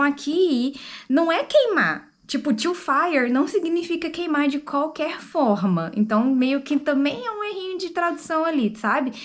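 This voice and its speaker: A woman chatting to the camera in a casual book review and explaining things to her viewers. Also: high voice. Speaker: book vlogger.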